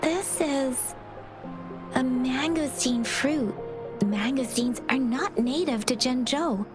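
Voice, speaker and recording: A woman playing a gentle, studious young scholar in a video game, polite and measured as she explains. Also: high voice, music in the background. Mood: informative. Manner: measured, soft-spoken, explanatory. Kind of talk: English video game voice acting.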